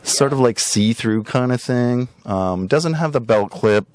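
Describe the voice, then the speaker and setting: A man hosting a podcast in a casual, rambling way, showing items for sale with drawn-out emphasis and frequent tag questions. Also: medium pitch, expressive, a little mellow. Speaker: podcast host and record shop seller. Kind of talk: casual rambling product showcase.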